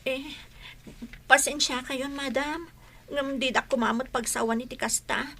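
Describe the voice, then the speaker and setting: A humble young housemaid played by a woman, with a high, bright voice. She is patient and forgiving, spoken in radio melodrama style. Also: steady tone, measured pace, heavily acted. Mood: hurt, apologetic. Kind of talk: melodramatic Filipino radio-style drama acting.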